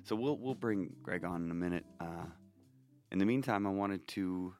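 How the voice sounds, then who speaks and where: Low nasal man with a cold. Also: normal speed, darkish tone, faint music behind. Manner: rambling, self-deprecating, restarts a lot. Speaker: podcast host. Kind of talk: casual podcast chat.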